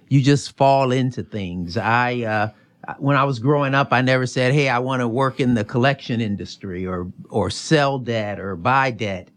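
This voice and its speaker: A middle-aged man from Chicago with an American accent, answering interview questions informally, often halting and restarting. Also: mid-range voice. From Chicago, United States. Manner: informal, halting, self-correcting. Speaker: interview guest, finance executive.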